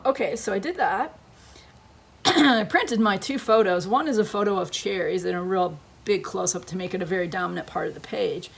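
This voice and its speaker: A woman's voice: on the low side, creaky, husky. A woman who is losing her voice gives a chatty scrapbooking tutorial, thinking aloud as she works at her crafting table.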